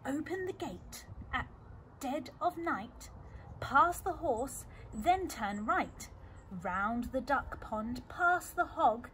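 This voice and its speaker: A woman's voice: high voice, sing-song, full character voice. A woman voicing two scheming, gullible male cattle thieves from a children's storybook in a conspiratorial manner. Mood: sneaky, scheming. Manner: slow, conspiratorial, partly whispered delivery. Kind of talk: children's storybook read-aloud. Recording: clearly noisy amateur recording.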